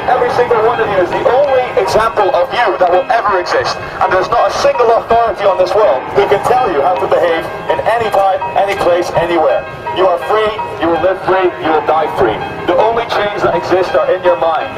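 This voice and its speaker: A man's voice: sits high, quick pace, rough recording. A man making a declamatory public speech outdoors on a city street, full of repeated slogans, like an activist addressing passers-by. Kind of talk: public street oratory, satirical proclamation. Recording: street recording with loud music.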